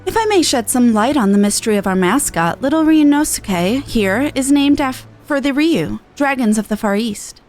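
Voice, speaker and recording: A woman's voice: on the high side, animated, moderate pace, hardly any pauses, darkish tone, close to an everyday voice. A woman voicing a gracious, formal festival envoy in a fantasy game, with courteous old-fashioned phrasing. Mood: explaining proudly. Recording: game music behind the voice.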